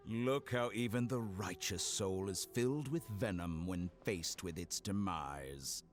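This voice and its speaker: Game villain: a low, unhurried, mockingly courteous mad scientist, sweeping intonation, music behind. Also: male voice, expressive. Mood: gloating, cruel. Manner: slow, deliberate, mockingly courteous. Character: suave, sadistic villain scientist, calm and mockingly polite.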